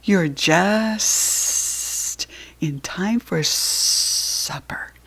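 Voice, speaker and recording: A woman voicing a smooth, sly, hungry male snake in a children's story, whispering breathily with slow, menacing politeness. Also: lowish voice, a bit bright, full character voice. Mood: sly welcome.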